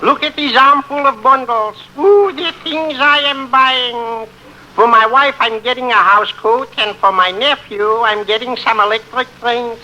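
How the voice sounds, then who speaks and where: Very high, piercingly bright cheerful, excitable middle-aged man, exclaiming through an old radio comedy recording. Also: exaggerated voice, low-quality recording. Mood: cheerful, bustling. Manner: exclamatory, drawn-out interjections. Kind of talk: 1950s radio comedy acting.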